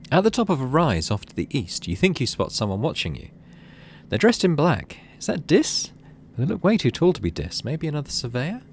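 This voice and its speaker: A man's voice: medium pitch, huge pitch swings, fairly quick, a little hiss or hum. A man doing let's play commentary on a game, reading narration aloud and thinking through choices in tentative asides. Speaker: gaming video host.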